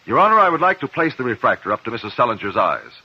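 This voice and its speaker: An actor with an American accent playing a composed, probing courtroom investigator in a 1950s radio drama, speaking in a deliberate, formal, precise way. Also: male voice, mid-range voice, very animated, husky. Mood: calm, probing. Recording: muffled old 1950s radio recording.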